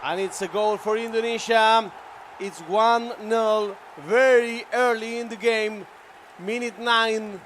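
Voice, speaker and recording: Native spanish speaker, man, esports co-caster, high voice, upbeat, faint street noise. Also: steady tone, slow pace, a bit bright. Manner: upbeat, addresses the chat directly. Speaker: esports co-commentator. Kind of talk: bilingual color commentary.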